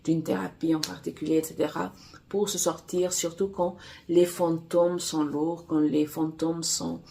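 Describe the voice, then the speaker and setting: A woman whose first language is Portuguese talks casually about her writing. She speaks slowly and unhurriedly, pausing often with fillers and restarting her sentences. Her voice sits a little low for a woman. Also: little pitch movement, gravelly, dark tone, slight background noise. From Angola. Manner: halting, many fillers and restarts. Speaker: romance author and publisher. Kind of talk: casual vlog chat.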